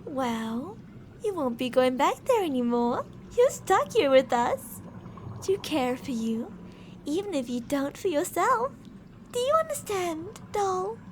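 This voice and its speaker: A woman's voice: high voice, very animated, takes it slowly, slight background noise, full character voice. A woman playing teasing, possessive female mad scientists in an ASMR roleplay, coaxing and domineering with sweet menace. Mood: playful, taunting.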